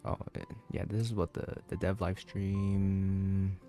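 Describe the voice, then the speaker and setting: A man giving casual, halting and skeptical commentary as he plays a video game. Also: deep voice, flat delivery, dark tone, faint music behind. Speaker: gaming YouTuber.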